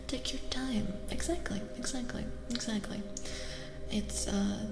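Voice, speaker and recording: Slow, soft-spoken woman in echoing ASMR reverb. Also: mid-range voice, gravelly. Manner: hushed, often slipping into whispers. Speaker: streamer giving a tarot reading. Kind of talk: soft-spoken ASMR, improvised tarot reading.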